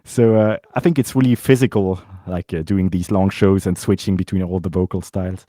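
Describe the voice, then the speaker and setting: A man with a very low voice hosting a casual chat and passing on viewer questions. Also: fairly quick, dry recording, studio quality. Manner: relaxed, reads viewer questions. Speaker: host. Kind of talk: casual chat over a stream.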